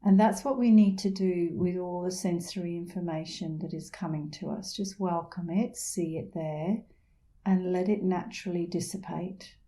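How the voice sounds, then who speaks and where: A middle-aged woman leading a guided meditation in a soothing, unhurried manner with gentle pauses. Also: fairly low pitch, fairly even intonation. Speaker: meditation instructor.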